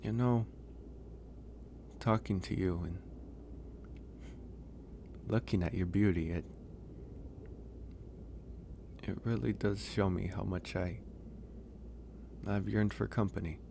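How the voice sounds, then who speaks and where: A man acting a gentle, flirtatious, lonely werewolf in a soft-spoken ASMR romance roleplay. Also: low voice, fairly even intonation, vocal fry, background noise. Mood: tender. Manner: soft-spoken, unhurried, reassuring.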